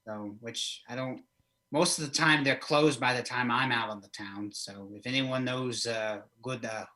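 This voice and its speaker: A man's voice: medium pitch, unhurried, bright, forceful tone. A man playing a flamboyant old vampire count from Eastern Europe as a comic horror host, rambling hesitantly through an interview in character. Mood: musing.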